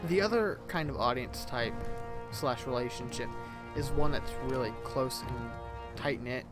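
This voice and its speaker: A man's voice: mid-range voice, measured pace, over loud music. A man of twenty rambling casually to his viewers, correcting himself as he goes, with a voice he calls childish. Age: 20s. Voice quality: self-described childish-sounding voice. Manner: rambling, self-correcting, chatty. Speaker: YouTuber. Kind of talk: casual rambling vlog chat.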